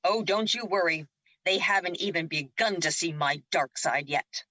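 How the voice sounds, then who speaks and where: A woman's voice: fairly low pitch, normal speed, sharp tone, no room sound. A woman playing a feisty, cocky ally in an audio drama, bold and sassy. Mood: defiant. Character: Luna, feisty, cocky female ally, fiercely defiant.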